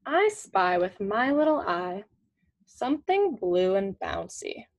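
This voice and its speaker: Young woman reading slowly to children, lively pitch. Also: gravelly. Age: young adult, 20s. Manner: slow, simple, teacherly phrasing with questions. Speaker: student reading a picture book aloud to children. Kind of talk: children's read-aloud with questions. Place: small indoor room at home with shelves.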